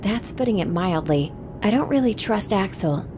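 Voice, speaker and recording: A woman's voice: medium pitch, expressive, moderate pace, over music, lightly acted. A woman playing a reserved, skeptical mercenary operative in a video game, with a dry, understated delivery. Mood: wry, wary. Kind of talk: video game cutscene voice acting.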